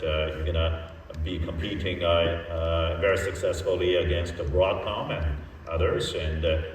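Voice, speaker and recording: A man moderating an on-stage interview, putting questions in a halting, deliberate way with frequent hesitations. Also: sits low, slight background noise. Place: large indoor hall. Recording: very echoey hall, distant mic.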